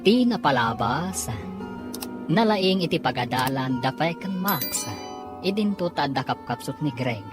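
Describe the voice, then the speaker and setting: A woman announcer narrates a radio serial in a scripted, dramatic style. She has an Ilocano accent and a low voice with lively intonation, over prominent music. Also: a little slow. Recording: music underneath.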